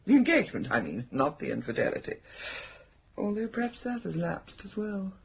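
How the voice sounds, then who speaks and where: An actress playing a prim, mischievous, scheming spinster who runs a charity, in a radio drama. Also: female voice, mid-range voice, very animated, brisk, breathy edge, full character voice. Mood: discreet. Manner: arch, coy, prim and mischievous. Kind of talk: 1970s radio drama acting. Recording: dull slightly noisy radio drama recording.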